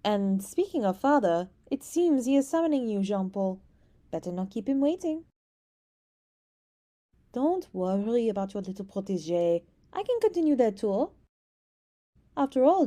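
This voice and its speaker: A woman's voice: mid-range voice, big pitch swings, normal speed, mellow, natural voice. A woman voicing a playful young princess who teases and scatters French words into her speech, in an ASMR roleplay. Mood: teasing. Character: teasing young princess, playful, anxious beneath.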